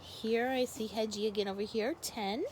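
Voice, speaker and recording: A woman's voice: on the high side, steady tone, normal speed, noisy background. A woman teacher speaking expressively to young children in a story read-aloud with casual asides. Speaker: first grade teacher.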